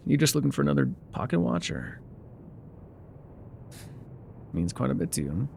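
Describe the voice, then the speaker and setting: A man playing a laconic, weathered frontier guide in a calm, haunted, musing ASMR roleplay, with a low gravelly voice and folksy pauses. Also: slight background noise, full character voice. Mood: curious, thoughtful. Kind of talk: immersive ASMR roleplay monologue.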